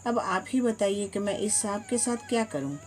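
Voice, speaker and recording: A woman's voice: mid-range voice, expressive, moderate pace, darkish tone, natural voice. A woman voicing an indignant male hunter who seeks punishment in a storybook tale. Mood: accusing. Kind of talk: storybook narration.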